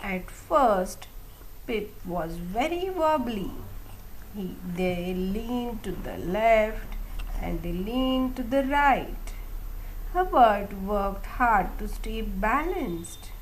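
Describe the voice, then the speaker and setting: A woman reading a children's story aloud in a deliberate way, as a storyteller. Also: medium pitch, expressive. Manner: slow, deliberate phrasing. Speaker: storyteller on a kids' story show. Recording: clearly noisy room background.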